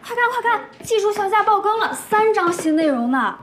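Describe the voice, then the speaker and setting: A young woman playing a gossipy, excitable office colleague in a screen drama, speaking urgently and confidingly. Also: extremely high pitch, fairly quick, a little hiss or hum, exaggerated voice. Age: young adult. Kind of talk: naturalistic screen drama acting.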